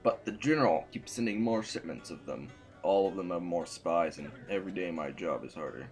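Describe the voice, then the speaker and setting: A man's voice: low voice, expressive, a little fast, faint music behind. A man playing a stern, embattled sovereign in an audio drama, speaking in a formal, weary, imperious way. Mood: grim, weary.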